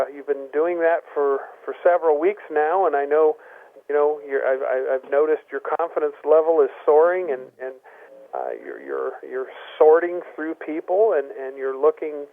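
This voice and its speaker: A man hosting a phone-in call, explaining a marketing plan with many halting restarts. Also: fairly high pitch. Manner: halting, repeats words while explaining. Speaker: host. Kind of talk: phone-in conference call talk. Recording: tinny phone line.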